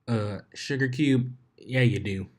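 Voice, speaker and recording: A man's voice: medium pitch, takes it slowly, close to an everyday voice. Give me a man playing a drawling farm mare with a Southern accent. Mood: teasing. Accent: American Southern.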